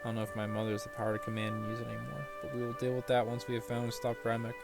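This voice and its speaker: A man's voice: mid-range voice, completely flat delivery, rapid-fire, vocal fry. A man doing casual playthrough commentary on a game, reading quest text aloud and tossing in offhand remarks. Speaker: gaming video maker. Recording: game music behind the voice.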